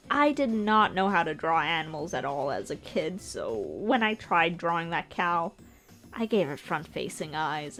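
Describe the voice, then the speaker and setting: A woman's voice: on the low side, a bit bright. Casual self-deprecating woman over music. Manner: informal, self-deprecating, lightly joking. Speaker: animator hosting an art commentary video. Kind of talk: casual narrated video commentary.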